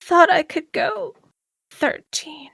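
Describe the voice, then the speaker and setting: Halting, high-voiced wounded girl. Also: gravelly, fairly bright tone, no echo, clean studio sound, heavily acted. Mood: pained, delirious. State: in pain, gasping. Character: feverish, wounded, frightened runaway girl, delirious and weak. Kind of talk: audio drama acting.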